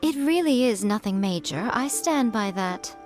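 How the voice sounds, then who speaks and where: A woman voicing a sly, teasing shrine priestess in a video game, amused and unhurried. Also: fairly high pitch, lively intonation, a little mellow, soft background music, close to an everyday voice.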